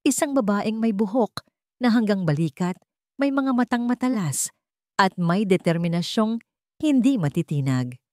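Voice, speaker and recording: A woman with a Filipino accent reading a scripted story as a narrator, in measured phrases with dramatic pauses. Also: medium pitch, animated, darkish tone, no room sound, clean studio sound.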